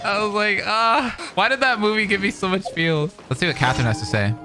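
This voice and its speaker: Casual, expressive male gaming streamer. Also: sits high, brisk, over music. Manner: colloquial, expressive. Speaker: gaming streamer reacting to a story quest. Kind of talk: casual reaction commentary.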